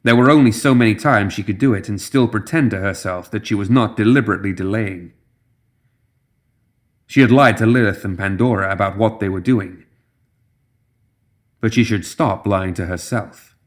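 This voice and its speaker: A man's voice: fairly low pitch, normal speed, long pauses. A man reading scripted fantasy fiction as an audiobook narrator.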